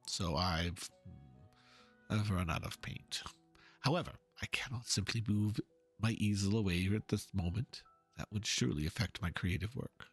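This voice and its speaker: A man playing an earnest, pompous painter in a dramatic reading of game dialogue, speaking in a florid, halting, theatrical way. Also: fairly low pitch, slightly rough, faint music behind. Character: theatrical, earnest male painter, pompous and fervent about art.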